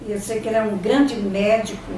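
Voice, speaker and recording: An older Brazilian woman whose first language was Italian, reminiscing in an interview and often pausing to search for words and restarting her sentences. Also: mid-range voice, steady tone, dark tone, a little hiss or hum. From Brazil, São Paulo state. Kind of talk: oral history reminiscing. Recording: echoey room, distant mic, faint ambience.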